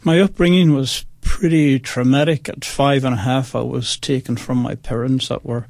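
A man's voice: medium pitch, fairly even intonation, normal speed, creaky, darkish tone, no echo. A man in his sixties with a Northern Irish accent, telling his life story as a radio guest in an earnest, chatty way. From Northern Ireland. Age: 60s. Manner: chatty, earnest, addresses listeners directly. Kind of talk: personal testimony, casual storytelling.

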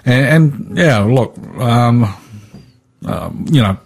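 A man's voice: medium pitch, animated, takes it slowly, vocal fry, slightly rough. A man from New Zealand with a New Zealand accent, a guest teacher talking through a Bible study on radio in an easygoing way. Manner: easygoing, with many fillers.

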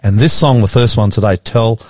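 A man's voice: lowish voice, a little mellow, no echo. Chatty Australian man hosting radio. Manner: enthusiastic, chatty, quick to laugh. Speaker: radio host and pastor. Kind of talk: radio talk show chat.

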